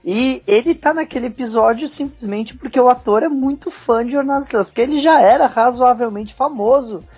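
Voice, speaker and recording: A woman's voice: a little high, a little fast, a little mellow, slightly rough. A Brazilian woman speaking casually as a panelist in a fan discussion. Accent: Brazilian Portuguese. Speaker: podcast panelist. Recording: dull lo-fi audio, faint music.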